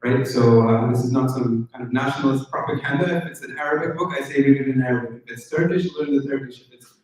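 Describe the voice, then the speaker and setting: A man's voice: mid-range voice, fairly even intonation, fast talker, a little mellow, recorded from a distance. A man lecturing in answer to a question, laying out organized, numbered points. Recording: echoey hall, off-mic.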